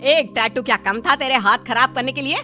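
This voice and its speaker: A woman voicing a bossy but caring mother-in-law in an animated moral story, nagging and sarcastic. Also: high voice, unhurried, soft background music, exaggerated voice. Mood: scolding.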